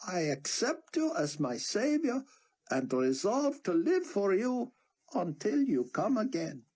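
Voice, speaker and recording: A man's voice: high voice, very animated, slow, husky, voice pushed up, full character voice. A man voicing a fervent male street preacher who proclaims and leads a prayer. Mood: exhorting. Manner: loud, preaching, sing-song. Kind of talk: audiobook character voice.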